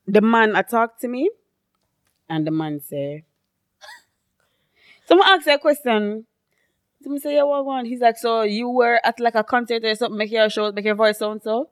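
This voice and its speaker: A woman's voice: a little high, dry recording. A young Jamaican woman co-hosting a podcast, chatting and joking with frequent laughter. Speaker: podcast co-host. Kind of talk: casual chat.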